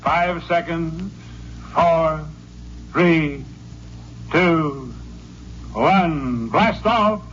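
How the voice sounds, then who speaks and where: Impassive launch controller, highish voice, very slow procedural calls, 1950s radio play. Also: male voice, music in the background. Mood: impassive, official. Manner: slow, measured, procedural calling. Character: clipped, impassive male space traffic controller counting down. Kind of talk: 1950s American radio drama acting. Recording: muffled old radio recording, faint noise.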